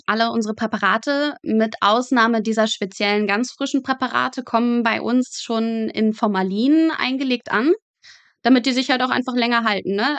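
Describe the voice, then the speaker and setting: A young woman speaks in a fairly high voice with a dry, room-free sound. She explains things colloquially as a guest, matter-of-fact and drily humorous. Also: fairly bright tone, no room sound. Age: young adult. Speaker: podcast guest, medical student working in pathology. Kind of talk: casual explanatory chat.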